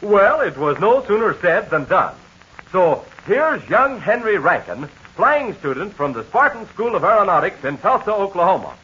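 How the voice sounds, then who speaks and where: A man announcing a 1940s radio serial, lively and salesmanlike, on a dull old transcription. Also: high-pitched, normal speed, slightly rough.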